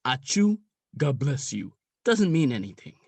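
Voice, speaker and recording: A young man acting in a comic radio play as a gruff, jaded old police sergeant, crude and rambling in his slangy talk. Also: medium pitch, big pitch swings, a little slow, dry recording, heavily acted. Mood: rambling, nonchalant. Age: young adult. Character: gruff, jaded veteran male police sergeant near retirement.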